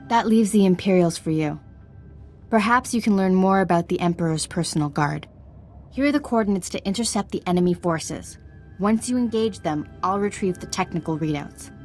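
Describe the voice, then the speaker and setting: A woman playing an earnest, composed Jedi ally in a video game, formal and measured in manner. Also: on the low side, darkish tone. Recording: music behind the voice.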